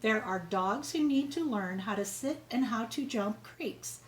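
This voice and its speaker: A woman voicing a proud, earnest, excitable male school principal in an emphatic children's storybook read-aloud. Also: a little high, unhurried. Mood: earnest, announcing. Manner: emphatic, repetitive, exclaiming.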